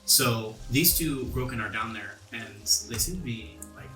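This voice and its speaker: A man's voice: mid-range voice, normal speed, pushed and bright. A man working as game master, narrating a tabletop game in an explanatory, descriptive way. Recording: roomy echo, faint music underneath. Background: faint background music.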